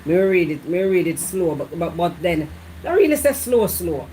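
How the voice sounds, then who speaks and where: A woman from Jamaica with a Jamaican Patois accent, co-hosting a casual live chat in an animated, outspoken way. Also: on the low side, a little hiss or hum, poor audio. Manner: animated, speaks in patois, quick to take offense.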